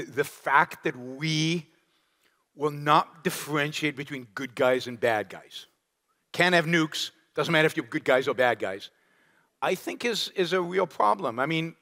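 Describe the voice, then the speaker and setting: A man giving a lecture and answering audience questions, animated and emphatic, firing off rhetorical questions. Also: on the high side. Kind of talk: public lecture Q&A. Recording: long hall reverb.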